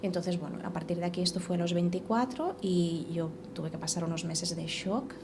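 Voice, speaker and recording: A woman's voice: lowish voice, normal speed, gravelly. Woman telling her story, noisy. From Catalonia. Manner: animated, repeats and restarts phrases. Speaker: podcast guest. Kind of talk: casual conversational storytelling. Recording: steady background noise.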